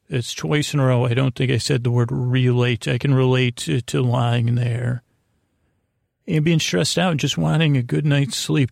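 An American man hosting a podcast, giving a soothing, meandering bedtime monologue in a halting way, often repeating himself and trailing off. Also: medium pitch, gravelly, no echo, studio quality. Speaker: podcast host.

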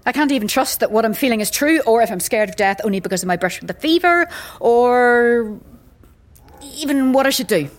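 An actress playing a very old, weary and sardonic priestess in a fantasy audio drama, blunt, with archaic slang and wry chuckles. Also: female voice, fairly high pitch, expressive, a little fast, lightly acted. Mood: troubled, doubting. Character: very old striking woman, sardonic, weary, doubting death-cult priestess.

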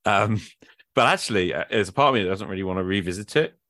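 Man, very low pitch, dry recording, podcast host, casual reflective chat, hesitant and self-qualifying. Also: lively intonation, fast, fairly bright tone, dry recording.

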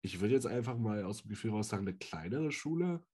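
Low, husky man on a podcast. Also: lively intonation, brisk, mellow. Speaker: podcast co-host. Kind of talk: casual sports podcast chat.

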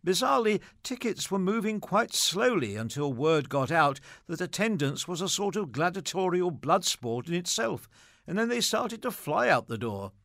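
A man's voice: high-pitched, big pitch swings, normal speed, creaky, a bit bright, no echo, studio quality. A man presenting scripted material and reading anecdotes aloud.

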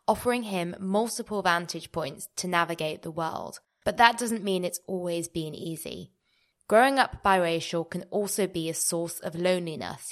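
A woman hosting a podcast, reading a measured scripted introduction and then asking her guest questions. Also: on the low side. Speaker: podcast host.

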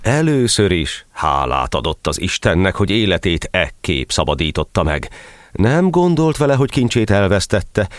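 Deep-voiced man, animated dramatic verse narrator. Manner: theatrical, declamatory storytelling. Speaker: narrator and actor. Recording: faint noise, sound-effect ambience behind.

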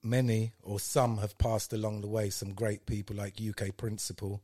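A man's voice: lowish voice, little pitch movement, normal speed. Older man telling stories from Guyana. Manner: warm, storytelling, devout greetings. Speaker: guest. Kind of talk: casual interview.

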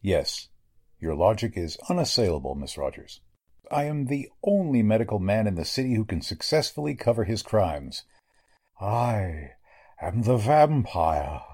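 An actor playing a sinister, courteous elderly doctor in a 1940s-style radio mystery, speaking formally and deliberately with a husky voice. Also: male voice, fairly low pitch, sing-song, darkish tone, heavily acted. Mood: coldly menacing. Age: older. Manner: formal, deliberate, menacingly polite.

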